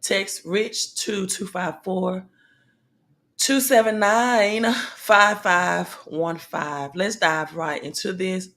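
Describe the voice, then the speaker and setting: A woman hosting a casual livestream about money news, with a slightly raspy voice. She talks in a halting way with many pauses and fillers, and calls out to her viewers directly. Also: mid-range voice, fairly bright tone. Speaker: livestream host.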